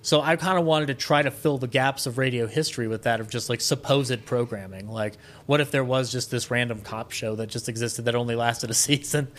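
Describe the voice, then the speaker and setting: A man's voice: mid-range voice, steady tone, a little fast, hardly any pauses, a bit bright. Male podcast guest, mid-range voice, casual and rambling storyteller with a film buff's enthusiasm.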